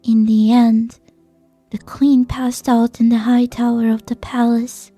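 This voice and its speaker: Woman as a soft, mellow, slow-speaking storytelling girl. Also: on the high side, flat delivery, soft background music. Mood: solemn, wistful. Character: gentle young girl solemnly retelling a fairy tale. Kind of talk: amateur fan-dub storytelling.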